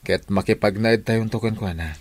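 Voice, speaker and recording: A man's voice: fairly low pitch, a little fast, natural voice. A man with an Ilocano accent playing a devout, grateful husband and father in a radio drama, speaking earnestly.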